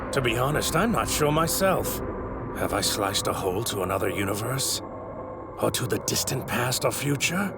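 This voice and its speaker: An actor playing an arrogant, wrathful god in a stolen warrior's body, with haughty, theatrical, grandiose delivery in an anime dub. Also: male voice, medium pitch, sing-song, moderate pace, over loud music. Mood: proud wonder. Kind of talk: anime dub villain acting. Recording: clearly noisy audio ripped from anime.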